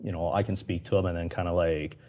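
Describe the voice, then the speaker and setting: A man's voice: sits low, fairly even intonation, fast, a little mellow. A man with a Canadian accent and Persian as his first language, telling his story casually as an interview guest and voicing quoted reactions informally. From Canada, moved from Iran as a young child. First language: Persian (Farsi). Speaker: podcast guest, police constable.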